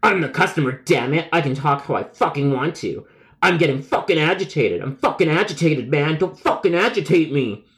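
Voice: mid-range voice, lively intonation, moderate pace, close to an everyday voice. A man voicing an angry, indignant pizza customer complaining emphatically in a comedic story. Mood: furious. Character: angry profane male pizza customer, belligerent liar.

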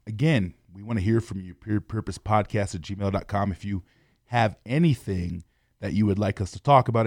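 A man's voice: lowish voice, a little mellow, no echo. Earnest man with a dry close sound. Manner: earnest, encouraging, addresses listeners as y'all. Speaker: podcast host. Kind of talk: motivational podcast talk.

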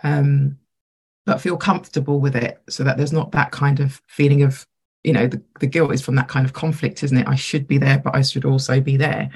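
A woman co-hosting a casual podcast chat, her voice croaky today as she thinks aloud in a reflective way. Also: extremely low pitch, fairly even intonation, brisk, a little mellow. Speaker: podcast co-host.

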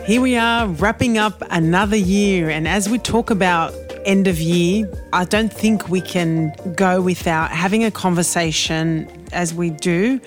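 A woman hosting a podcast, talking in an effusive, praising, warm way. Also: fairly low pitch, a little slow, few pauses, soft background music. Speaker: podcast host. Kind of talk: casual podcast chat.

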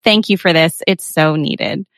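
A woman's voice: fairly low pitch, measured pace, a bit bright, dry recording, clean studio sound. A woman hosting a podcast, reading a scripted intro and then chatting with a guest in a soothing, friendly, upbeat way. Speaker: podcast host.

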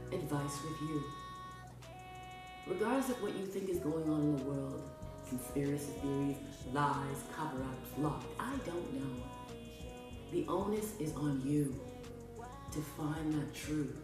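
A woman from Canada hosting a podcast, speaking in an earnest, emphatic, preacher-like way as she delivers a motivational spiritual monologue. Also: deep voice. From Canada, with family from Jamaica. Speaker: podcast host. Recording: roomy echo, distant mic, music behind. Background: music.

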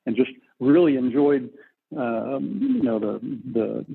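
A man's voice: medium pitch, lively intonation, slow, gravelly, slightly rough. A middle-aged man from Texas, telling his story casually as an interview guest over a remote call. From Texas, United States. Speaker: interview guest, business owner. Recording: muffled, tinny phone-like connection.

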